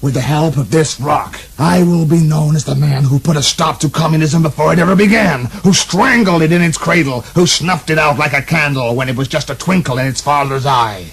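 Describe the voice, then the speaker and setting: An actor playing a power-crazed rich American tycoon in a wheelchair, bombastic and prone to shouting, in a comic radio play. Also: male voice, on the high side, fairly quick, gravelly, hardly any pauses, slight background noise. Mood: ranting.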